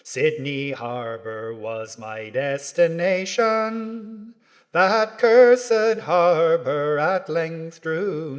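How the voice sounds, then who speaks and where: Very slow male ballad singer, reverberant. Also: fairly high pitch. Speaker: folk singer. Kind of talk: slow sung ballad with convict lament. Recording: long hall reverb.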